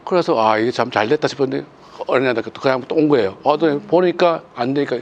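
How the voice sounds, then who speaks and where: Man telling a relaxed anecdote. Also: medium pitch, fast talker, lo-fi sound. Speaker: panelist. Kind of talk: panel discussion.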